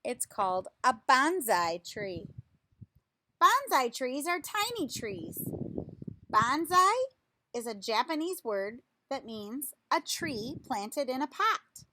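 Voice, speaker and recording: A woman with a high voice reads a children's book aloud at a slow pace. Her delivery is deliberate and instructive, like a teacher guiding young listeners with questions. The recording has clear noise behind her. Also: big pitch swings, sharp tone, rough recording.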